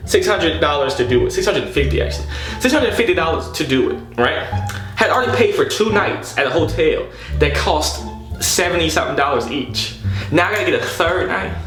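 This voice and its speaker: A man's voice: on the high side, lively intonation, fairly quick. A young man telling a personal story casually, in an exasperated, slangy, profane way. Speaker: storytelling vlogger. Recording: echoey room, loud background music. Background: background music.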